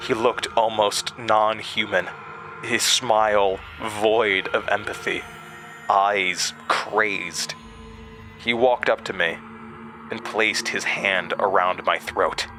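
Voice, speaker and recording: A man playing a haunted narrator in a horror audio drama, recalling his past gravely with dramatic pauses. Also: lowish voice, sharp tone, full character voice. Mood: dread. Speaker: narrator and protagonist.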